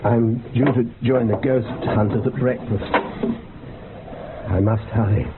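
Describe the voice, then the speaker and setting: An actor playing a polite, slightly hesitant gentleman patron in a radio play. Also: mid-range voice, fairly even intonation, measured pace, natural voice. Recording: muffled, clearly noisy, band-limited radio recording.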